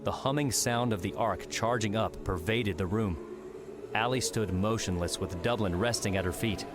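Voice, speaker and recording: Low-voiced male audiobook narrator. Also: moderate pace, over music. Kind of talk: scripted dramatic story narration.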